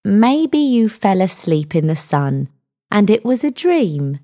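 A woman voicing a male police sergeant in a story, friendly but condescending and dismissive, with measured delivery. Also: on the low side, big pitch swings, darkish tone. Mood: slow, condescending. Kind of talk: audiobook character dialogue.

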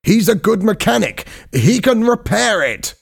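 A man's voice: on the high side, sing-song, slow, a little mellow, voice pushed up, full character voice. A man voicing an exclamatory, urgent male scientist diver in an audiobook story. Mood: worried, impatient. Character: male scientist diver, eager then alarmed and impatient.

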